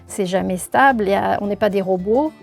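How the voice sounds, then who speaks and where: A woman from the Marseille region, a linguistics professor explaining ideas in a measured, thoughtful way with some hesitation. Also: medium pitch, vocal fry, faint music behind. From southern France, Marseille region. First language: French. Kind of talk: explanatory interview answers.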